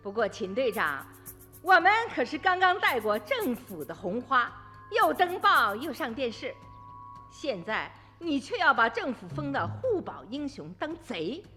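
Sharp-tongued farmer's wife, high bright voice. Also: female voice, big pitch swings, normal speed, big-room sound, soft background music, full character voice. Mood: indignant. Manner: pointed, outspoken. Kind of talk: radio drama dialogue.

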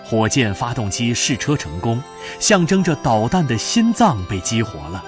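Male drama narrator: solemn, declamatory scripted read, expressive intonation, music bed behind. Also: mid-range voice, normal speed. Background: prominent music.

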